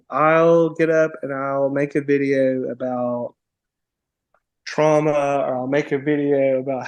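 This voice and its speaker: A young adult podcast guest in casual conversation, playful and bubbly, with lots of likes and you-knows. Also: male voice, mid-range voice, a little mellow.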